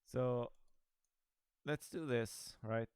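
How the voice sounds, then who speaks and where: A man's voice: mid-range voice, steady tone, drawn-out words, darkish tone, clear, resonant tone, no room sound. A man giving casual, rambling commentary over a game he is playing, with dry, sarcastic asides. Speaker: gaming video creator.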